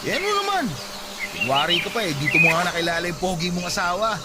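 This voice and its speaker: A man's voice: sits high, fast, very noisy. A man playing a playful, devoted younger husband and cocky travel vlogger in a Filipino radio love-story drama, speaking with a Filipino accent. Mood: teasing. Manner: animated, joking, boastful. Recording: loud music behind the voice.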